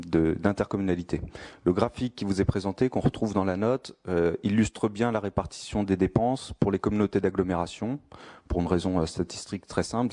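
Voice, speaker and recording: A man giving a formal spoken presentation of a statistical study in a measured, careful, explanatory manner. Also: on the low side, dark tone.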